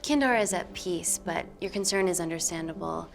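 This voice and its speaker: A composed female scientist with a fairly low, articulate voice. She speaks earnestly, as in a film drama, with music behind her. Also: a little fast, creaky. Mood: poised, formal. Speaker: scientist presenting to investors. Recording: film soundtrack with background music.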